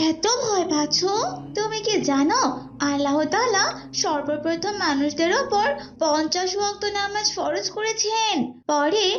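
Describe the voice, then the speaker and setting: A performer voicing a devout young older sister who explains and instructs in an Islamic moral cartoon. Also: very high voice. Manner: preachy, explanatory. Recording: dull lo-fi audio.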